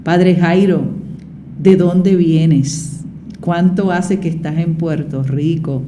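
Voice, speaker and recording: A woman's voice: lowish voice, fairly even intonation, slow pace, background noise. A woman hosting a radio interview, talking in a conversational way with repetitions and fillers. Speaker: radio host.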